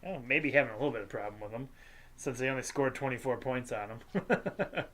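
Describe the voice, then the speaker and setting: A congested host, casually reading a sports scores recap with lots of ums and uhs and small jokes. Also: male voice, mid-range voice, a bit bright. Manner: halting, filler-heavy delivery with light jokes. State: congested, sinusy.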